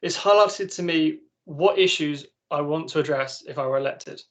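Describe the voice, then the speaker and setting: A young man with a slightly high voice reads out a prepared campaign speech. His delivery is formal and scripted, like a student candidate setting out a platform. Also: normal speed, a bit bright. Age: young adult.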